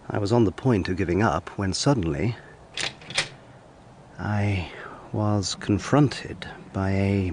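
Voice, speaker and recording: Young lawyer, low voice, earnest and measured period drama narrator, faint ambient noise behind. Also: male voice, darkish tone. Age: young adult. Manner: measured, literary, musing. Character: earnest, curious young male lawyer recounting his tale.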